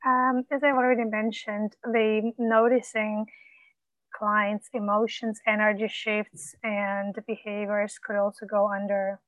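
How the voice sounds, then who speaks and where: A woman coach mentor, whose first language is not English, giving supportive, measured feedback with frequent pauses and ums. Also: medium pitch, flat delivery, dry recording, rough recording.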